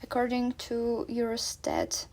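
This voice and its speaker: A woman's voice: fairly high pitch, wildly swooping pitch, slow, creaky, a little mellow, slight background noise. A young woman from Ukraine, a student presenting at a seminar, reading lists of cited points and spelling out terms.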